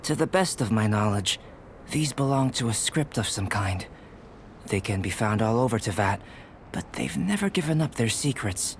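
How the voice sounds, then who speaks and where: A calm, polite young alchemist. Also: male voice, on the low side, slight background noise. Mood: intrigued. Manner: measured and polite. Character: calm young male alchemist, curious and composed. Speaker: alchemist researcher. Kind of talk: video game voice acting. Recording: game audio with music behind.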